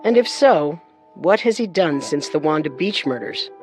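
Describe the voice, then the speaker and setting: A woman co-hosting a scripted true-crime program, speaking in a serious, deliberate way. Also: low-pitched, expressive, darkish tone, faint music behind.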